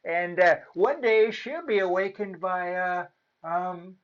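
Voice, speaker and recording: A storyteller voicing a half-deaf, muddled, cheerful fairy woman in a storybook tale, loudly querying and hesitating as she goes. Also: mid-range voice, slow pace, dull audio, close to an everyday voice. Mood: improvising. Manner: mishears, asks loudly, hesitates.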